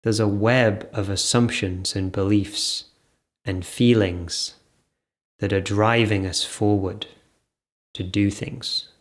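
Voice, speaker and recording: A man with a fairly low voice leads an improvised guided meditation. His delivery is very slow, broken into short phrases. He leaves gentle pauses between them. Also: steady tone.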